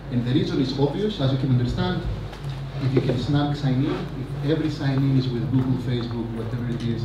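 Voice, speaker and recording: A man giving a rambling, energetic conference talk on stage, full of fillers and asides. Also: mid-range voice, dull audio. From Greece. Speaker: conference speaker. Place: large conference hall. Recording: echoey hall, mic from a distance, noisy.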